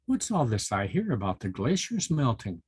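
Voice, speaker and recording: A grave ruler of the mountain animals from a children's storybook, acted out by a man reading aloud. The voice is commanding and husky, recorded close and dry. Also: medium pitch, very animated, moderate pace, darkish tone, no echo. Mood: inquiring. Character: grave, commanding male mountain monarch of the high rocks.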